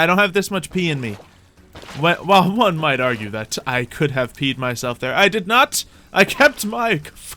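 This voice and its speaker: A man's voice: on the high side, pushed and bright, slight background noise. A man commentating on his gameplay in character, theatrical and mock-boastful, muttering to himself and repeating his phrases. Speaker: gaming video host.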